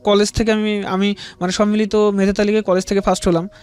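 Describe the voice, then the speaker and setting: A man in his twenties with a Bangladeshi accent, telling his life story as an interview guest in a halting, deferential, repetitive way. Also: high-pitched, little pitch movement, a little mellow, clear, resonant tone. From rural Bangladesh.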